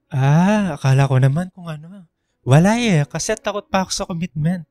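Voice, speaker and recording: High-pitched, bantering young man played by an actor. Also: moderate pace, darkish tone, dry recording, lightly acted. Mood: amused, shy. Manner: light, joking, bantering. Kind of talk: radio drama dialogue.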